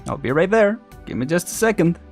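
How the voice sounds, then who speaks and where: A man chatting casually and rambling as he thinks out loud on a live game stream. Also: sits high, sing-song, brisk, soft background music.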